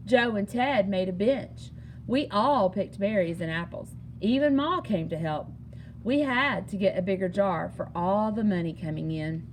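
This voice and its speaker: A woman reading a children's picture book aloud in an expressive, singsong storytelling manner. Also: medium pitch, slight background noise, low-quality recording. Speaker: story reader.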